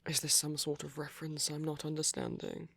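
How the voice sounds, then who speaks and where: A man playing a soft, caring vampire boyfriend in an intimate ASMR roleplay, speaking gently with pauses. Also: on the high side, monotone, dry recording, exaggerated voice. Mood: teasing, puzzled. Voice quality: soft, breathy.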